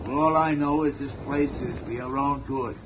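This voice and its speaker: An actor playing a gruff, muscle-bound male asylum nurse, talking tough and threatening in 1940s radio style. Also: medium pitch, steady tone, normal speed, lightly acted. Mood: surly. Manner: tough-guy street talk, threatening. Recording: muffled old radio recording with hiss.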